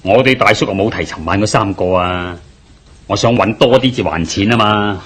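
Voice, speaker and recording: A man's voice: lowish voice, moderate pace, a bit bright. A man playing a hard-up, placating young debtor in a radio drama, speaking apologetically in Hong Kong Cantonese. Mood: reluctant. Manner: apologetic, eager to agree.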